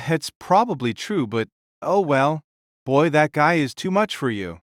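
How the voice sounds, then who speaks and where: Audio drama role: man as huge warrior king, blunt and protective, sighing, slightly raised pitch, very dry recording. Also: big pitch swings, unhurried, no room sound. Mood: weary, frank. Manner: frank, admonishing, sighing.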